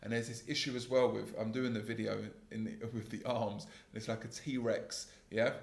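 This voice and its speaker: A man in his thirties hosting a solo podcast, talking in a calm, earnest, self-critical way about his work as a sales trainer, with a gravelly voice. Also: fairly low pitch, moderate pace, roomy sound. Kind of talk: solo reflective podcast monologue.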